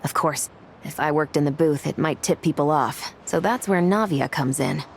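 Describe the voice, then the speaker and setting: A woman's voice: low voice, moderate pace, slight background noise. An actress playing a serious, cautious woman police investigator in a video game, speaking formally and in a businesslike way. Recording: music behind the voice.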